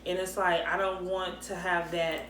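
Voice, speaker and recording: A woman's voice: on the low side, flat delivery, fairly bright tone. Thirtyish woman co-host, emphatic and conversational; roomy echo, light background hiss. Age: 30s. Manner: emphatic, conversational, quick back-and-forth. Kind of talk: candid casual chat. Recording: echoey room with faint ambient noise.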